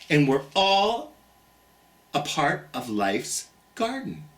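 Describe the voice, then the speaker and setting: Slow animated kids storytime host, singsong and theatrical, talking right to the little ones. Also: mid-range voice, recorded from a distance. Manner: theatrical, singsong, encouraging, talks directly to the child listener. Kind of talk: animated storytelling for young children.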